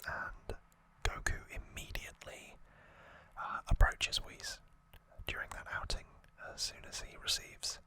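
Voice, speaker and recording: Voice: a little slow, bright, forceful tone, poor audio. An ASMR host whispering an unscripted story recap in a hesitant, slightly wry way.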